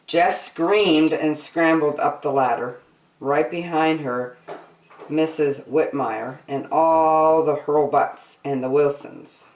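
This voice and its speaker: A woman reading a children's picture book aloud as host, deliberately phrase by phrase, in an echoey room. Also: low voice, darkish tone. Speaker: storytime host and reader. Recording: roomy echo.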